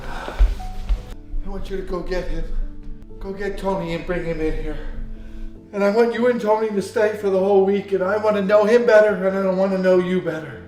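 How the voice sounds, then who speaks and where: A man acting a solemn father softening into acceptance in a melodramatic web drama. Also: high-pitched, fairly even intonation, moderate pace, pauses often, close to an everyday voice. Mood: softened, earnest. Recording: roomy film dialogue under music.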